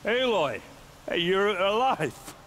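A man voicing a boisterous, tipsy warrior captain in a video game, loud and expansive. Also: high voice, very animated, slow pace, a little hiss or hum, heavily acted. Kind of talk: video game cutscene acting.

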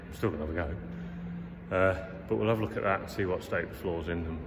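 Deep-voiced Englishman in an echoey warehouse. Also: fast, noisy background. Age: middle-aged. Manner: chatty, matter-of-fact, talking through each step. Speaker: vlogger. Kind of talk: casual vlog narration. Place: large empty derelict warehouse, indoors. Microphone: handheld camera at arm's length. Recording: long echo in a big empty warehouse.

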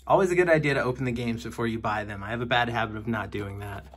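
A man's voice: mid-range voice, quick pace, fairly bright tone, slightly rough. Chatty wry man sorting games. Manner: chatty, wry, jokey asides. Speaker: video game collector vlogger. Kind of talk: casual unboxing commentary. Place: indoors at home.

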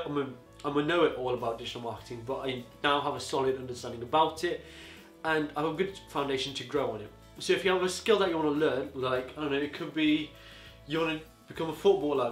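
An eighteen-year-old man giving rambling, casual advice to camera from a living-room sofa, his voice going a bit hoarse. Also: a little high, fairly bright tone, roomy sound. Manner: rambling, chatty, peppered with "you know". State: voice getting hoarse. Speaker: student vlogger. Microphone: camera on a tripod across the room.

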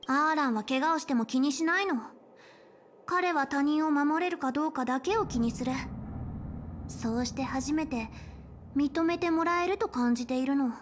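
A woman voicing a young, polite and caring space station chief in a Japanese game, speaking in a measured, articulate way. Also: sits high, a little hiss or hum, natural voice. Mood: worried, stern. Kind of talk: Japanese game voice acting, explanatory dialogue. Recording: game dialogue over background music.

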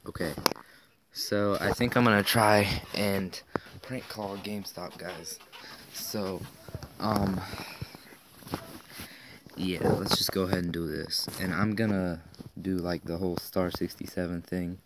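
A man's voice: sits low, steady tone, takes it slowly. A young man chatting casually in a hesitant, meandering way, as a prank-call vlogger talking into a phone. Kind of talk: casual chat. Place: small indoor room with panelled ceiling. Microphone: phone at arm's length. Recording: noisy amateur phone recording with clicks.

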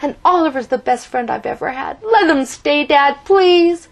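A woman doing an excitable ten-year-old boy. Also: high voice, normal speed, voice pushed up. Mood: pleading. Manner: excited, stammering, pleading.

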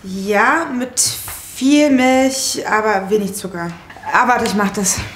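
A young woman playing a helpful, caring German friend who explains things clearly. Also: medium pitch, slow, background noise, lightly acted. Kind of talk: scripted German-learning drama.

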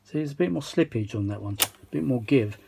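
A man's voice: medium pitch, a little fast, creaky. A British man giving casual, rambling, self-mocking commentary as he talks to himself during a repair at an indoor workbench. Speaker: vintage audio repair hobbyist and video maker. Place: indoor workshop bench. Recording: steady machine noise behind voice.

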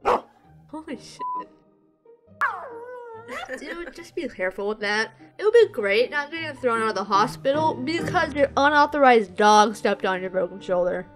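A woman playing a sardonic cartoon teen boy with a fairly high, lively voice. He makes dry, sarcastic remarks while faint music plays. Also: normal speed, close to an everyday voice. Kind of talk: fan dub of comic strips.

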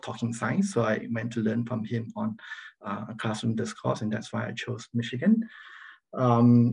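A middle-aged Singaporean-accented man presents slides to an online audience as a guest academic. His voice has a creaky, slightly raspy grain. He speaks haltingly, often restarting his phrases. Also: mid-range voice, a little mellow, low-quality recording. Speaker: university professor, guest speaker. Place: online video meeting, speaking over slides. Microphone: video call microphone.

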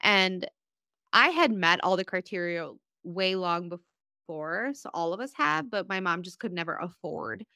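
Podcast guest: woman in her 30s, Bosnian-born, earnest personal storyteller, bright and clear. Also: on the low side, expressive, measured pace, dry recording, studio quality. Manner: earnest, rambling, emotional storytelling. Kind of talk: candid personal conversation.

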